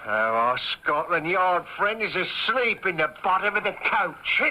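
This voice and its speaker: A man's voice: sits high, very animated, a little slow. An actor playing a sly, jovial rustic coachman and crook with a folksy manner, in an old-time radio drama. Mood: gleeful, scheming. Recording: faintly noisy old radio recording.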